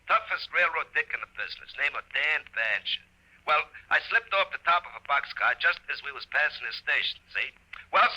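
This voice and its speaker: A chummy, wisecracking ghost of a railroad bum, rather high and very sharp in tone. He boasts and needles in folksy tough-guy slang, in the manner of an old radio comedy. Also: dull audio, heavily acted. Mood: grumbling, reminiscing. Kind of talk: 1950s radio horror-comedy acting.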